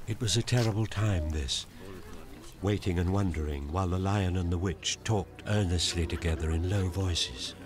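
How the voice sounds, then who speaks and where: A man narrating a scripted radio drama as a storyteller, in a grave, earnest and measured way. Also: deep voice, lightly acted. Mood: grave, wondering.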